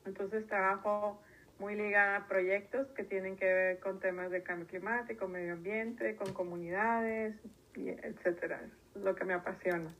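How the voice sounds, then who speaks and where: A woman's voice: medium pitch, steady tone, moderate pace, fairly bright tone, a little hiss or hum, rough recording. Northern Mexican woman in casual conversation. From northern Mexico, grew up in Tijuana. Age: 30s. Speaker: interview guest.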